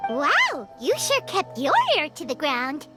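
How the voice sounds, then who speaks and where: An actress voicing a small, curious fairy companion in a video game, with a high, excitable voice. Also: female voice, slow, full character voice. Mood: eager. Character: tiny floating fairy companion, chirpy and curious. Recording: clean game audio under loud music.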